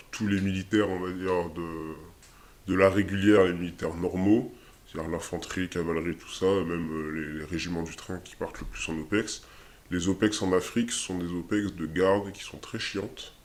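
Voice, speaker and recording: Homme à la voix très grave, hésitant. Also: male voice, low-pitched. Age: 20s. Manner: hesitant, many fillers and restarts. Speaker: interviewee, army soldier. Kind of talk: casual interview answers.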